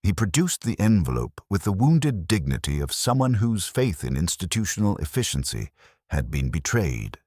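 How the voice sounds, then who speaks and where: Very deep, husky male narrator. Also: sing-song, a little mellow, no echo. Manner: measured, formal storytelling. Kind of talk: scripted mystery audiobook narration.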